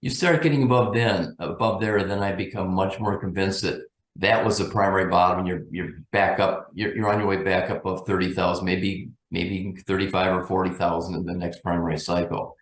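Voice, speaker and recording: A man giving unscripted market commentary as a guest analyst, thinking aloud in a measured, cautious way. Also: low voice, fairly even intonation, reverberant, rough recording.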